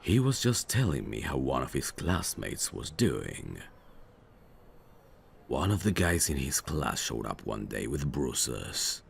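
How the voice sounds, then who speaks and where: A man playing a suave, teasing mafia boss in an ASMR audio roleplay, flirtatious with a faint edge of menace and an unhurried manner. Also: rumbling low, sing-song, vocal fry, a little hiss or hum, heavily acted. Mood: smooth, casual.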